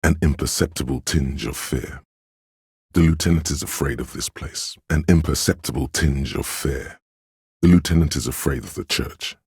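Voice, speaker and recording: A man voicing a game's inner voice of empathy, a gentle, perceptive, sorrowful narrator who speaks in a measured, solemn, intimate way. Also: extremely low pitch, slightly rough, no echo, studio quality, heavily acted. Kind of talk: scripted second-person game narration.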